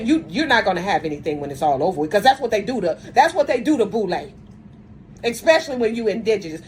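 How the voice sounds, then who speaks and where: A woman's voice: mid-range voice, slight background noise, rough recording. A woman speaking straight to the camera in an angry rant, blunt and confrontational, often stumbling and restarting mid-sentence. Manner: says she has a strong voice. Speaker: video commentator.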